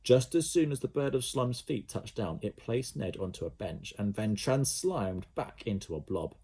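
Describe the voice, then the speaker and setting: A male teacher reads a children's story aloud. His voice is low, dark and a bit husky, and he tells the tale with lively expression. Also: moderate pace.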